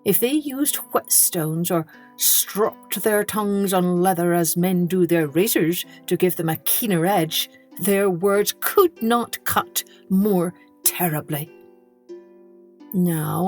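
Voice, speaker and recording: A woman's voice: on the low side, takes it slowly, darkish tone. Woman narrating children's stories over music. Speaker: storytelling podcast host. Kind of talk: scripted children's story narration.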